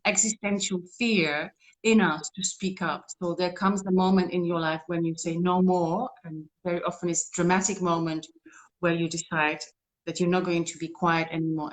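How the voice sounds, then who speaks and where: A woman's voice: fairly low pitch, fairly even intonation, normal speed, low-quality recording. Woman on a call. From Czech Republic. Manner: earnest, rambling, with frequent restarts. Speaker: guest. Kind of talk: casual interview talk.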